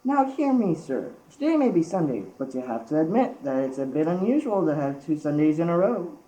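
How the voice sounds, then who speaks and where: A woman's voice: low-pitched, very animated, a little fast, mellow, poor audio. A young teenage girl playing an exasperated, blustering male mill boss in an amateur school radio play. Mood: insistent.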